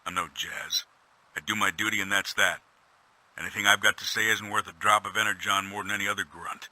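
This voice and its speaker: An actor playing a world-weary, gruff robot soldier in a video game audio log, a humble, plainspoken veteran with a folksy, stoic manner. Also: male voice, lowish voice, fairly even intonation, brisk, sharp tone, poor audio. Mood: resigned, dutiful. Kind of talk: scripted in-character audio log monologue.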